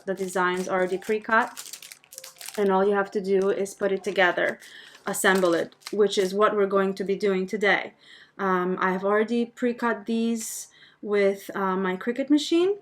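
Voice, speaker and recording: A woman's voice: medium pitch, slight background noise. A woman from Albania speaking casually and chattily as she hosts a live craft tutorial, friendly with her viewers. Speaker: host of a craft tutorial livestream.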